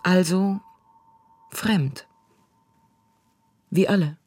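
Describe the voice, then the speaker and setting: High-voiced man reading poetic prose. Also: a little mellow, breathy edge, dry recording, clean studio sound, faint music behind. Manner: slow, deliberate poetic delivery. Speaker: reader of a literary text. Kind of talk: scripted literary reading.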